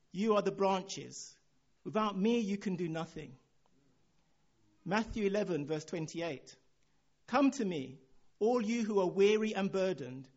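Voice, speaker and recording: A man from Nigeria with a Nigerian accent, preaching a sermon in a relaxed way, chuckling now and then. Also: high voice, highs cut off.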